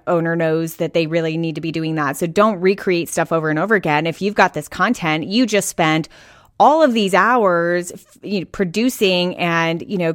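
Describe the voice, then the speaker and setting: A woman's voice: on the low side, no room sound, studio quality. A woman hosting a podcast who interviews her guest in an encouraging, reflective way, with many casual fillers. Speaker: podcast host.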